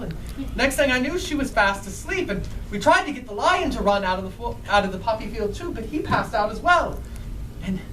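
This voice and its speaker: Woman as friendly Scarecrow telling children a story live; very lively intonation, distant and echoey in a room. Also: a little high, slight background noise. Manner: animated, asks the listeners questions. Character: female-voiced Scarecrow, friendly eager storyteller. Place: indoors in a bookstore. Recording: roomy live event, distant microphone.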